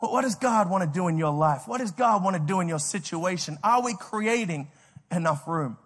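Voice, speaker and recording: High-voiced Australian man preaching in a hall. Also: moderate pace. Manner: energetic, repeats words and restarts, laughs at himself. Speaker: pastor. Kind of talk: sermon with personal storytelling.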